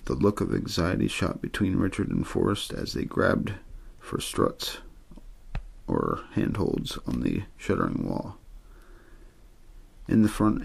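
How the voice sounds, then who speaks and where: A man reading a story aloud as an amateur ASMR narrator, in a low-key, untheatrical way with the odd stumble. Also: creaky, husky. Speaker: amateur audiobook reader.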